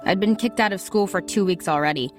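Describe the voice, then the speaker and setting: A woman's voice: mid-range voice, fast talker, faint music behind, close to an everyday voice. A woman playing a troubled, bookish American schoolgirl who narrates her story reflectively in an audio drama. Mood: reflective, confiding. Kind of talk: scripted first-person drama narration.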